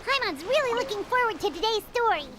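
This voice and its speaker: A woman voicing a tiny, excitable, childlike fairy companion in an anime fantasy game, with animated delivery. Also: fast talker, very noisy. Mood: eager. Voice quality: high, squeaky. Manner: speaks of herself in the third person, animated. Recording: game music behind the voice.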